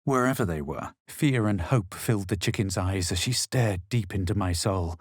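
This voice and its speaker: A low-voiced, self-assured young fantasy hero for a video game. He speaks with measured superiority and a husky edge, as if every phrase were written out. The sound is close and dry. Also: dry recording. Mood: solemn narrating. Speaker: video game player-character voice actor. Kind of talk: scripted game voice lines, narration and quips.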